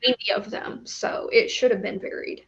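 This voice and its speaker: A woman's voice: mid-range voice, steady tone, brisk, creaky, dull audio. A woman giving her opinions as a panelist in a casual chat about books. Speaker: book club panelist.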